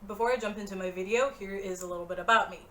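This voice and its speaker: A woman's voice: mid-range voice, very fast, noisy background. A woman in her twenties talking earnestly to the camera in a motivational vlog, direct and encouraging. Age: 20s. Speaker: vlogger.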